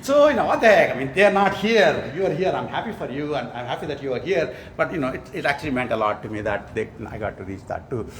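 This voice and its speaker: A man's voice: medium pitch, big pitch swings, fast, few pauses, a bit bright, slight background noise. A man from India, a professor giving a conference award lecture with joking asides. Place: conference hall before an audience.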